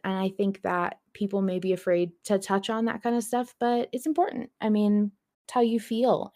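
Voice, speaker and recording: A woman's voice: lowish voice, darkish tone. A woman podcast host chatting casually and reading out listener messages.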